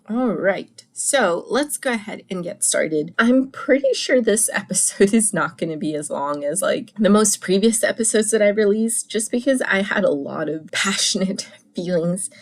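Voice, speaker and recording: A woman hosting a solo podcast, chatting in an animated, casual way, a little congested and coughing after a cold. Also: mid-range voice. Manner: chatty, animated, laughs and restarts often. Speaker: podcast host.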